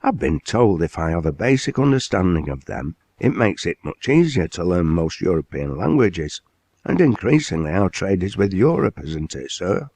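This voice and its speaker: A man's voice: sits low, sing-song, moderate pace, a little mellow, no echo, exaggerated voice. A man voicing a polite teenage apprentice lad. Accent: slight South East Lancashire. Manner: polite, earnest, respectful.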